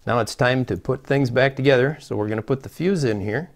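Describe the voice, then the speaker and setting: A middle-aged man with a gravelly voice gives a casual, folksy repair tutorial, talking through each step as he works. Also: medium pitch, a little fast. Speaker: host of a CB radio repair channel. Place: small plain indoor room, seated at a table.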